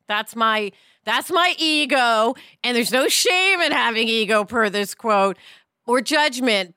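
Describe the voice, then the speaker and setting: A woman hosting a casual, reflective discussion in an expressive way, with animated asides. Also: fairly high pitch, no room sound, studio quality. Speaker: podcast host.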